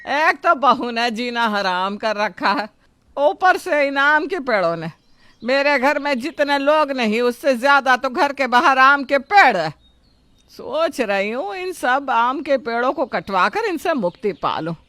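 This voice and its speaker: A woman plays an old mother-in-law in a storybook drama. She nags and grumbles in a high, slow voice, recorded very dry. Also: expressive, a bit bright, dry recording. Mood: complaining. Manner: nagging, drawn-out complaining.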